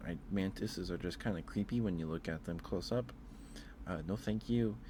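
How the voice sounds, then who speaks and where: Male ASMR streamer, deep register, informal halting delivery, audibly noisy recording. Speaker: live streamer. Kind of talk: casual ASMR livestream chat.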